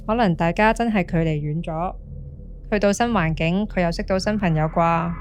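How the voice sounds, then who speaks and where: A woman's voice: fairly low pitch, moderate pace, slight background noise, close to an everyday voice. An actress with a Hong Kong Cantonese accent playing an earnest young woman recounting a friend's eerie experience in a ghost-story podcast. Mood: earnest, suspenseful. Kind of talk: scripted ghost-story monologue.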